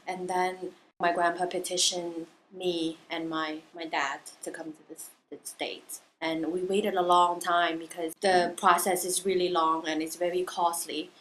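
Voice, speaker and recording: A woman in her twenties from southern China, a native Chinese speaker, shares personal stories in an interview. Her voice is very bright and clear-cut, sitting a little low for a woman. She talks candidly, often repeating herself as she searches for words, over faint background noise. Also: level tone, unhurried, rough recording.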